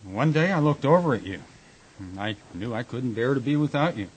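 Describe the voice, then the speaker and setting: A man's voice: medium pitch, lively intonation, brisk, slightly rough, poor audio. A man acting a teasing, devoted husband and Navy veteran in a radio drama, wisecracking and plain-spoken. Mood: tender, earnest.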